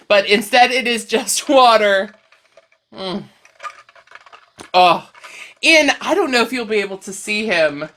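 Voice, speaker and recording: Chatty crochet vlogger with a bright voice. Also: female voice, medium pitch. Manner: chatty, laughs often, loses her train of thought. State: slightly tired, scratchy voice. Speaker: crochet video host. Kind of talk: casual crochet chat vlog.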